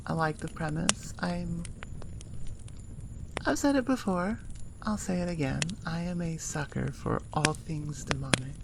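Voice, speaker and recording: Woman rambling to her listeners, slow n casual, bit of gravelly fry, noticeable background noise. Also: sits low. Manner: informal, self-deprecating, rambling, with swearing. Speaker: ASMR audio creator and host.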